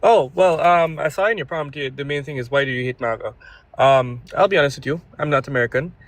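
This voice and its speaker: A man's voice: on the high side, fast, no room sound. A man, calling in and explaining his view politely and carefully. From Guyana. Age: 20s. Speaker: caller.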